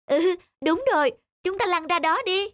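A woman voicing a squabbling, indignant talking household object in an animated moral tale. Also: extremely high pitch, normal speed, a little hiss or hum. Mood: worried. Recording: music behind the voice.

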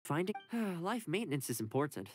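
A breezy young housekeeper from a video game, friendly and easygoing. The pitch swings in a lively way, and music plays softly behind. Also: male voice, a little fast. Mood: cheerful. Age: young adult. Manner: breezy, affable. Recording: background music under dialogue.

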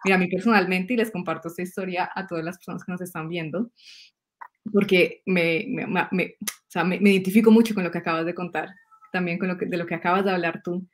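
A woman's voice: lowish voice, steady tone, fast. A woman in her thirties from Colombia with a Colombian accent, hosting a casual spiritual video-call conversation; she tells stories animatedly, laughs often and repeats words. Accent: Colombian Spanish. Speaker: host, astrologer. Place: home room, indoors. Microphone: video call webcam.

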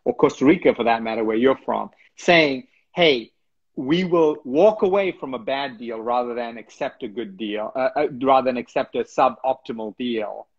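Hesitant host on a dull video call. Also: male voice, mid-range voice, normal speed, husky. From Sri Lanka. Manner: thoughtful, hesitant, many restarts. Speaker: interview host. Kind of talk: conversational interview. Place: home room with bookshelves, indoors. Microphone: laptop webcam on a video call.

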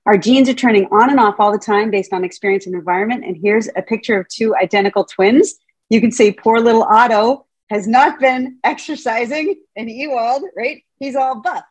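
A woman's voice: on the high side, moderate pace, slightly rough, no echo, low-quality recording. A middle-aged woman, a Montessori teacher trainer, giving a casual, playful talk over a video call and often asking her audience questions. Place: home room, indoors. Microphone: video call webcam.

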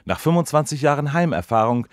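A man's voice: on the high side, lively intonation, brisk, no room sound, lightly acted. Man acting an earnest radio reporter, dry studio sound. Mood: solemn, deadpan. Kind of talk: mock-serious broadcast reporting.

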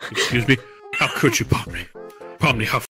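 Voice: medium pitch, brisk, over music, lightly acted. An actor playing a manic, spiteful male circus ringmaster in a comedic cartoon dub, theatrical and childishly crude. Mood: outraged.

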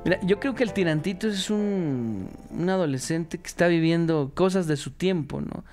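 Man, Mexican accent, higher register, smooth tone, faint music, reflective talk. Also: animated, moderate pace. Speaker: radio performer. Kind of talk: casual reflective interview.

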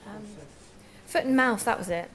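A woman's voice: mid-range voice, normal speed, lightly acted. A woman playing an earnest, curious amateur investigator in audio drama dialogue, tentative and polite. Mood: earnest, inquiring.

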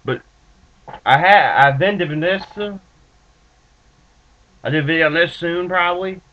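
A man in his twenties with a stuffed-up nose, rambling in a laid-back, halting way as he talks to his webcam in a small room with bookshelves. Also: on the high side, slight background noise. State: stuffed up from allergies. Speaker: vlogger reviewing dip tobacco. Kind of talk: casual webcam vlog. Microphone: built-in webcam mic. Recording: muffled lo-fi webcam audio.

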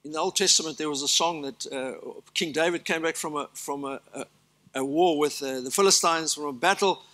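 Bright-voiced older pastor preaching. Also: male voice, a little high, a little fast. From South Africa. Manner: earnest preaching with emphatic lists and asides. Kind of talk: sermon. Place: large church stage.

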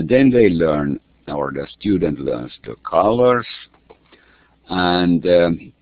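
Slow, gravelly Finland-Swedish man, phone-thin sound. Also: a bass voice, no room sound. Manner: hesitant, many fillers and restarts. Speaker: language course creator. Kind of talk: screen-share lesson walkthrough. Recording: tinny phone-like line, dry.

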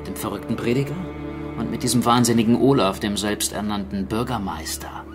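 An actor playing a gruff, wry stranded archaeologist in a naturalistic radio drama, brusque and matter-of-fact. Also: male voice, fairly low pitch, fairly quick, over music, natural voice. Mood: grave, explaining.

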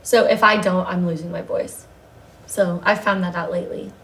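A woman's voice: lowish voice, fairly even intonation, moderate pace, a bit bright, rough recording. Upbeat young woman singer, laughing. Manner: upbeat, laughs often, filler "like". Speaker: worship singer, guest. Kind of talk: casual interview chat. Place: bright living-room studio set.

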